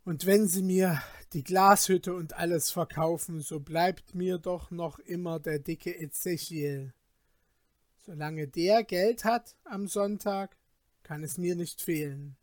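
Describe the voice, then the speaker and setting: A reader voicing a reckless young man, newly rich and boastful, talking loudly and in agitation. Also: mid-range voice, slow pace, no echo, studio quality, lightly acted. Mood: swaggering.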